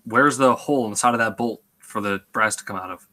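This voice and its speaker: A man's voice: fairly low pitch, fast, pushed and bright. A man on a video call, joking and teasing during casual chat. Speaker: panelist.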